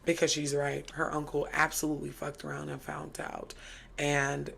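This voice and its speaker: A woman's voice: deep voice, completely flat delivery, normal speed, creaky, a bit bright, slight background noise. An American woman co-hosting a livestream, blunt and conversational as she introduces video clips. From United States. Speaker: co-host. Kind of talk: livestream commentary.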